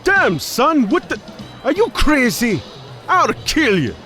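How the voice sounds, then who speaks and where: A man's voice: extremely high pitch, huge pitch swings, slow pace, sharp tone, faint music behind, full character voice. A man playing a jumped-up, blustering crime middleman who talks in street slang in an audio drama. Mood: outraged.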